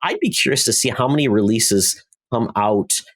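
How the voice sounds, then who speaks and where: A middle-aged man talks as a podcast co-host in an average-pitched voice. He muses casually, piecing his thoughts together in short, halting bursts. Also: sing-song, a bit bright. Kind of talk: casual conversational podcast chat.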